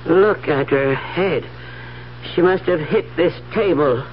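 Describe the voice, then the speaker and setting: High-pitched, obliging building manager. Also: male voice, slow pace. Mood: shocked, sorry. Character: obliging, sympathetic, simple male apartment building manager. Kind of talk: 1970s radio drama acting. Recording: muffled, faintly noisy 1970s radio recording.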